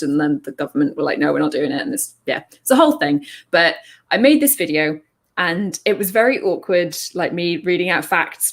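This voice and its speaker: A woman video creator talking casually on a panel, with a relatively deep voice, laughing often and restarting her sentences. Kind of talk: casual online panel chat.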